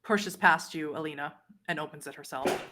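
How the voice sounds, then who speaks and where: Composed woman game master, slightly low voice. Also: normal speed, sharp tone. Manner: composed, descriptive. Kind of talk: narrating a tabletop roleplay game.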